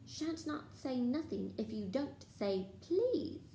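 A woman's voice: high voice, big pitch swings, takes it slowly, mellow, voice pushed up, exaggerated voice. A woman voicing a gleeful, taunting male poltergeist in a mocking sing-song for a storybook. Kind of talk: storybook character voice in a read-aloud. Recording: roomy echo, faint background noise.

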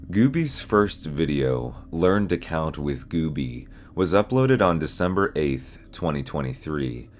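Very deep-voiced man narrating ominously. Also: sing-song, measured pace, a little hiss or hum. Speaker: host and narrator of a horror explainer channel. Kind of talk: scripted narration.

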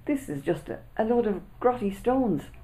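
A woman's voice: fairly high pitch, lively intonation, normal speed, mellow, voice pushed up, natural voice. A woman voicing a cheeky, mocking schoolboy in a storybook reading, with a teasing manner and a voice raised a little above her own. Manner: scoffing, teasing.

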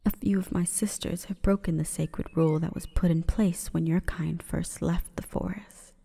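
Female forest spirit, played by a woman for ASMR roleplay: mellow breathy whisper, solemn and seductive, in long reverb. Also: on the low side, steady tone. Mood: solemn, hushed. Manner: slow, breathy, formal and commanding.

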